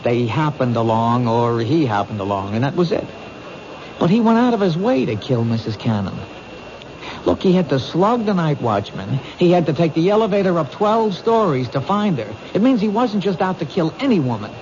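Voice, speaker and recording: Animated, insistent young-rank detective. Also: male voice, on the high side, normal speed. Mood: insistent reasoning. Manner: insistent, reasoning aloud, emphatic. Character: earnest, questioning male junior detective. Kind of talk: 1970s radio drama acting. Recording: muffled old radio broadcast, faint noise.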